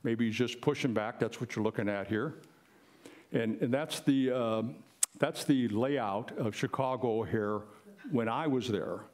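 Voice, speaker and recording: A man's voice: mid-range voice, measured pace, a little mellow. An older man speaking plainly and explaining things in an informal presentation to a live audience. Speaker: presenter, retired air traffic controller.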